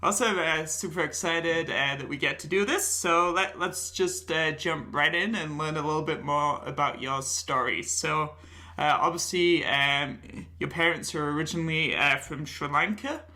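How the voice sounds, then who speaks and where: A male podcast host with a gravelly, bright edge to his voice. He leads an interview and fills nearly every pause with "uh" as he asks questions. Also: on the high side.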